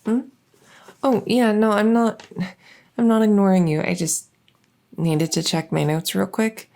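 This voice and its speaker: A woman's voice: mid-range voice, steady tone, a little slow, gravelly, mellow. A woman playing a shy, socially awkward vampire in an ASMR roleplay, speaking hesitantly with anxious stammers. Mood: flustered, hesitant.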